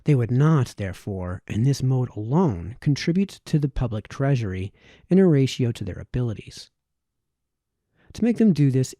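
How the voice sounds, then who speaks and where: A man reads a formal old essay aloud in a very dark, soft-edged voice. His phrasing is measured, with an occasional stumble over a word. The sound is dry and close, with little room around it. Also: medium pitch, dry recording. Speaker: amateur reader of historical texts. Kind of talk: read-aloud of formal historical essay, audiobook style.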